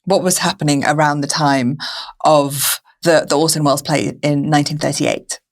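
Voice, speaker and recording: Low-voiced woman hosting a podcast. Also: steady tone, moderate pace. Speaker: podcast host. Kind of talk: conversational interviewing.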